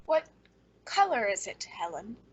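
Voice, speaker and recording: A woman acting a bitter, clever, quietly menacing character in an old-time radio suspense play, brooding and pointed in delivery. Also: mid-range voice, sing-song, unhurried, husky, muffled recording. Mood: guarded.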